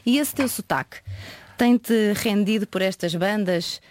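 A woman's voice: medium pitch, measured pace, darkish tone. Playful Portuguese woman hosting radio. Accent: European Portuguese. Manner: playful, teasing. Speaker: radio interview host. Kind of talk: conversational radio interview.

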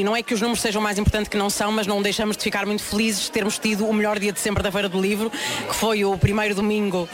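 A woman, a book fair programmer giving fluent, reflective answers in a radio interview at an outdoor fair. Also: mid-range voice.

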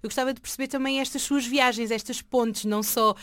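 A woman's voice: a little high, steady tone, brisk, a bit bright, dry recording, clean studio sound. A woman with a European Portuguese accent conducting an informal, conversational interview.

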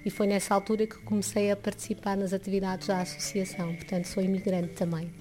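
A woman from Angola giving a personal account in an interview as a volunteer, explaining in long, measured sentences. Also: mid-range voice, little pitch movement. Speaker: association volunteer.

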